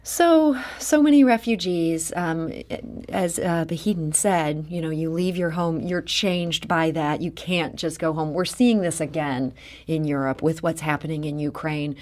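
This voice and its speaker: A woman hosting a public radio interview, asking questions with a lively, rising delivery. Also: low voice, measured pace. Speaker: radio host.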